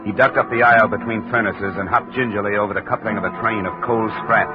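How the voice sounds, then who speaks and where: An actor narrating and playing a wry, tough insurance investigator in a 1950s radio drama. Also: male voice, lowish voice, steady tone, fast, music in the background, close to an everyday voice. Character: hard-boiled male insurance investigator. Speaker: narrator and lead. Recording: dull 1950 radio broadcast audio.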